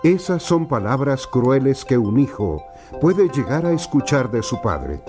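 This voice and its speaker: A man narrating a faith testimony program in a measured, solemn tone. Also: mid-range voice. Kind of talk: scripted narration.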